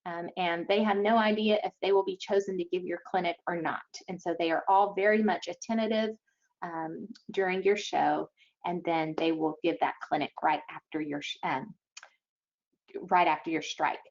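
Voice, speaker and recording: A woman giving an explanatory webinar briefing as an event organizer, speaking haltingly with frequent pauses. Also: fairly low pitch, fairly even intonation. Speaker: event organizer presenting to schools. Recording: dull, lo-fi online webinar audio.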